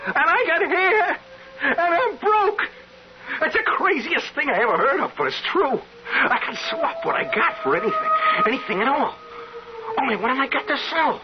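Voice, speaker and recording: Brash, swooping streetwise hustler. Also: male voice, extremely high pitch, sing-song, normal speed, music in the background. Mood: astonished, excited. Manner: colloquial tough-guy wheedling, slangy. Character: scheming, brash bald barfly man, streetwise hustler. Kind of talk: old-time radio drama acting. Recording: dull, noisy radio drama recording.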